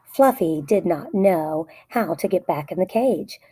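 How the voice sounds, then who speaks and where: A woman's voice: lowish voice, expressive, a little slow, dark tone, breathy edge. A woman narrating a children's story aloud in short, plainly phrased sentences. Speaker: storybook reader.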